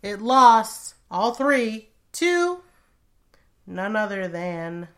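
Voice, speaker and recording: A woman co-hosts a casual podcast with a voice that sits in a medium range. She talks in a chatty, teasing way and laughs easily. Her manner is relaxed and conversational. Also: mid-range voice, drawn-out words. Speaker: podcast co-host. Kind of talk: casual chat about songs.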